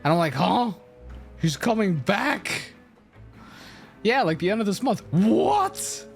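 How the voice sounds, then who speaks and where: A streamer reacts live to a video game in a casual, slangy way. The pace is slow with an excitable manner, and faint music sits behind the voice. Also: medium pitch, gravelly. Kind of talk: casual live gameplay commentary.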